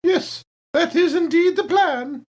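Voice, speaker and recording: A woman playing a polite, evasive female saboteur in a space horror roleplaying game, genteel and gently understated. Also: very high voice, slow, voice pushed up.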